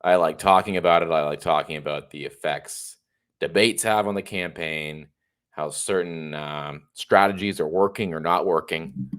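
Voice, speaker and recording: A man's voice: sits low, moderate pace, fairly bright tone, clean tone. A man in his thirties hosting a casual political livestream, congested and a bit tired, talking in a chatty, rambling, opinionated way. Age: 30s. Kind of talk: casual solo livestream monologue.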